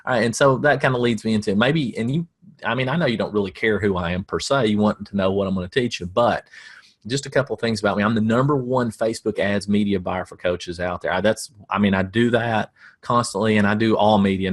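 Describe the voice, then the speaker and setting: A man's voice: on the low side, fairly quick. A middle-aged man with a Southern American accent, teaching coaches about marketing in a casual, folksy way with self-deprecating jokes.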